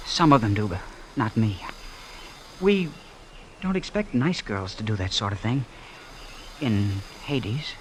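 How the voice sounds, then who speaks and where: A man's voice: lowish voice, animated, slow pace, noisy background. An actor playing an earnest, bashful small-town young man, hesitant and stammering, in old-time radio drama style. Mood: smitten.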